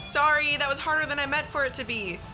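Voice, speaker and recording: A woman's voice: high voice, fast, sharp tone, soft background music. A woman playing a cheeky, playful female brawler in a fantasy audio drama.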